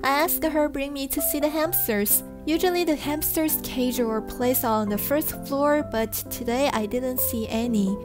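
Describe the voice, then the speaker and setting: A high-voiced woman chats casually for a vlog. She is playful and given to exclaiming, with music running behind her. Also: measured pace, few pauses. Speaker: vlogger. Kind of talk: casual vlog chat and narration.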